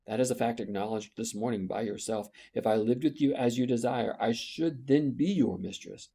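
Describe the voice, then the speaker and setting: A man voicing a quiet resolute young governess. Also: mid-range voice, gravelly, breathy edge. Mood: firm. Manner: quiet, measured and firm. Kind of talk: audiobook character reading.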